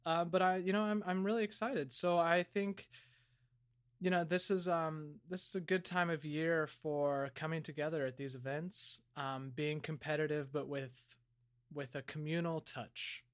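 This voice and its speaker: A man co-hosting a comedy podcast, chatting in character with an earnest, deadpan delivery. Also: on the high side, moderate pace, smooth. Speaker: podcast co-host.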